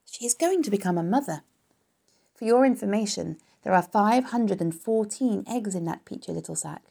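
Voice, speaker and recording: A woman's voice: mid-range voice, lively intonation, moderate pace, vocal fry, dark tone, slightly rough, pitched above the performer's own voice. Young male pig for a children's storybook, read by a woman: earnest, loyal, sensitive, straightforward. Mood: indignant, proud.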